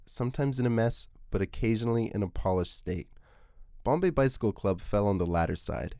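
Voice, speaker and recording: A man hosting a podcast, reading scripted music-documentary narration in a measured, essay-like way. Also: lowish voice, a little mellow, no echo, studio quality. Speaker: podcast host. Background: music under the voice.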